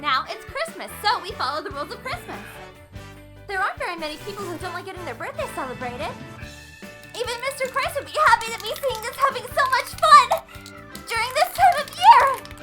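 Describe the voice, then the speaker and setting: A woman's voice: very high voice, big pitch swings, fairly quick, over loud music. A woman acting a bossy, exuberant teenage schoolgirl club leader in an anime fandub, brash and teasing. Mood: cheerful, excited.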